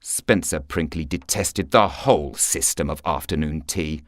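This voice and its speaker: A man narrating a short story for radio in a theatrical, expressive manner. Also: a bass voice, unhurried, dry recording, studio quality. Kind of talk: scripted literary narration for radio.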